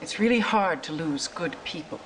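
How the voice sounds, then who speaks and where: An actress playing a sympathetic, quietly suspicious resistance member in a video game, gentle and confiding. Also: female voice, low-pitched, expressive, normal speed, slight background noise, poor audio. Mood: sympathetic, wary. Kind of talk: video game cutscene acting.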